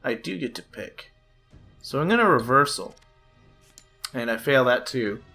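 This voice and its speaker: A man's voice: mid-range voice, animated, a little slow, bright, forceful tone. A young man giving chatty play-by-play commentary on a card game, slightly nasal from a cold, with lots of "uh" fillers. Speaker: gaming YouTuber. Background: background music.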